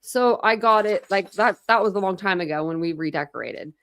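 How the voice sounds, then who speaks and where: A woman's voice: mid-range voice, gravelly, a bit bright. A woman hosting a casual true crime livestream in a rambling, chatty way, answering viewers. She has a mixed Midwest and Southern American accent. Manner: chatty, rambling, quick asides with laughs. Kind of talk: casual livestream chat reading comments.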